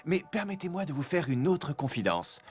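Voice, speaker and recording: A man voicing a young romantic king in a fairy-tale cartoon, regal and expressive, with loud music behind him. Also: on the high side, moderate pace, breathy edge. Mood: tender. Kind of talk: animated fairy-tale dub.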